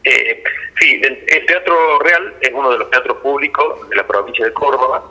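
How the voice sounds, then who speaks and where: A man's voice: mid-range voice, normal speed, pushed and bright, rough recording. A middle-aged Argentine man answering interview questions as a theatre director, explaining things over a radio phone link.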